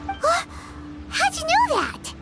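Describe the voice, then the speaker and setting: A woman's voice: extremely high pitch, wildly swooping pitch, slow, exaggerated voice. A woman voicing a tiny, chatty, fairy-like girl companion in a video game, curious and eager. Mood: surprised. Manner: refers to self in third person, chatty. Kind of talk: video game character dialogue. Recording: music behind the voice.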